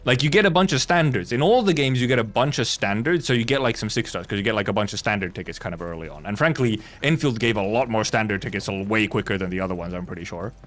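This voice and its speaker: A man's voice: lowish voice, lively intonation, fast, hardly any pauses, a bit bright. A man livestreaming a game, chatting casually in a rambling, blunt, sweary way. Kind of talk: casual gaming stream chat.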